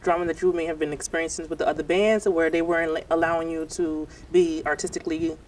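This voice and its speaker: A woman's voice: deep voice, flat delivery, quick pace, darkish tone, slight background noise, low-quality recording. A woman hosting a TV interview who teases her guests in a playful way. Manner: playful, teasing. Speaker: TV show host.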